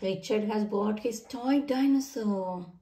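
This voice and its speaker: A woman reading a storybook aloud to children with an expressive, sing-song delivery, addressing them directly. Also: mid-range voice, slow, far from the mic. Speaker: children's story reader, channel host.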